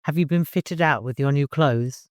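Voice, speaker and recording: A man voicing a kindly father and caravan leader in an audiobook, courteous, gently humorous and easily flustered. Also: fairly high pitch, normal speed, dry recording, studio quality, close to an everyday voice.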